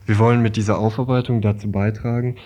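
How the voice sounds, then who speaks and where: Man with low voice reading aloud. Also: little pitch movement, fast, warm tone. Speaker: radio reader of a quotation. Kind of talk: scripted reading.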